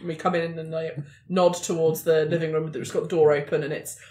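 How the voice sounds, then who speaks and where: A woman's voice: deep voice, level tone, fairly quick, warm tone. Woman improvising a story in a roomy space. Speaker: player in a roleplaying podcast. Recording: roomy indoor echo.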